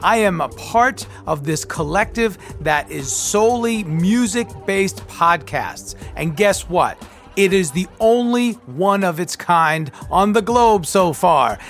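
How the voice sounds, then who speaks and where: High-voiced man with sing-song sign-off. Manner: warm, sing-song sign-off, rolling delivery. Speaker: podcast host. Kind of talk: podcast outro and promo. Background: faint music bed.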